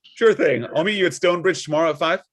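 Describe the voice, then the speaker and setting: A man's voice: a little high, fast talker, lightly acted. A man playing an affable, easily flustered older church deacon and father in a comedy table read. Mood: friendly.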